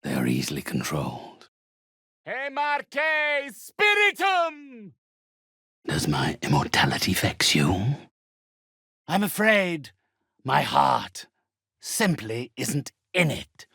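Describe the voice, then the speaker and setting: An actor playing a sinister, haughty undead necromancer in a video game, with a raspy voice and formal, drawn-out, menacingly polite delivery. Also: male voice, on the high side, wildly swooping pitch, bright, forceful tone, dry recording, clean studio sound, heavily acted. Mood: aloof, courteous, then commanding incantation, then mocking disdain. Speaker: spirit medium. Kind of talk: theatrical video game villain acting.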